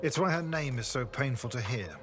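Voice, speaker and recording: A man playing a haunted, remorseful spy in a video game cutscene, speaking in a measured, solemn way. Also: medium pitch, animated, close to an everyday voice. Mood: grave, controlled. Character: brainwashed spy, remorseful husband, grave and haunted.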